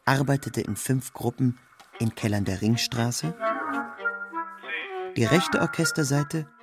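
A man's voice: medium pitch, slow pace, creaky, loud music behind the voice. A man reading a scripted literary narration in a sober, factual manner.

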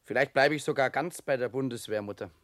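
An actor playing an earnest, matter-of-fact young soldier son in a radio drama, speaking plainly. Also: male voice, medium pitch, fast talker, a bit bright, no echo, close to an everyday voice. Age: young adult.

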